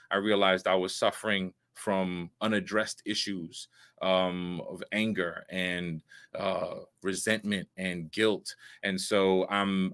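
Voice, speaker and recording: A man's voice: fairly low pitch, sharp tone, clear, resonant tone. Earnest male talk show host. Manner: earnest, measured, with frequent pauses and fillers. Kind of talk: hosting a live online talk show.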